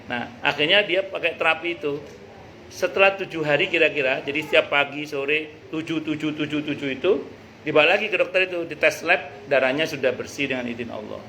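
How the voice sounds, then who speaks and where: Islamic teacher, male, middle-aged. Forceful bright tone, conversational and jokey, halting in places, slightly noisy live recording. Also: fairly high pitch. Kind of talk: informal religious study talk with anecdotes and read-out passages. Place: a private home hosting a study gathering.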